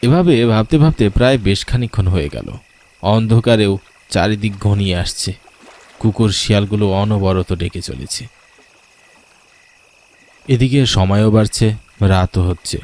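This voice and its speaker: A man's voice: on the low side, a little slow, long pauses, a little mellow. A man telling a scripted first-person horror story as a dramatic storyteller.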